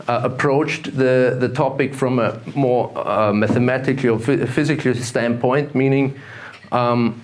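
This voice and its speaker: A man from Austria with an Austrian German accent giving a talk at a conference, halting and full of fillers and restarts. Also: medium pitch. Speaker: conference speaker. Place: conference room with audience.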